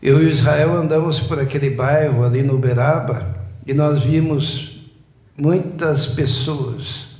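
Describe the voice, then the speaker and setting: A man, preaching a sermon slowly and emphatically, building up repeated phrases. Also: on the high side, a little mellow. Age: 60s. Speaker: pastor. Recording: echoey church room.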